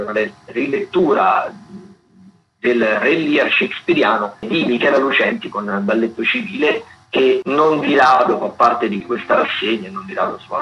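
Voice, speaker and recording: A man hosting a radio show, talking through theatre listings in a halting, unscripted way. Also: mid-range voice, fairly bright tone. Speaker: radio host. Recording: dull, lo-fi radio sound with clear noise.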